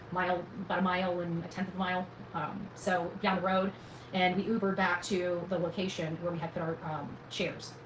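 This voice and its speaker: Woman, very fast talker, rambling casual storyteller, folksy and emphatic, echoey room, faint background noise. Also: lowish voice. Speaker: vlogger recounting own experience.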